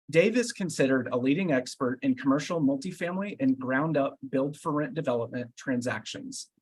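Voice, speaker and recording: A man's voice: medium pitch, husky, low-quality recording. A man hosting a podcast, delivering a scripted show introduction. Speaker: podcast host.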